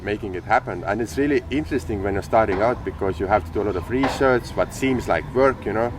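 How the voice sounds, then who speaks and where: A man telling his story in casual conversation, explaining things in a steady, step-by-step way, recorded outdoors in a sunny city. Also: on the low side, fast. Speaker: podcast co-host, entrepreneur. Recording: clear street and traffic noise.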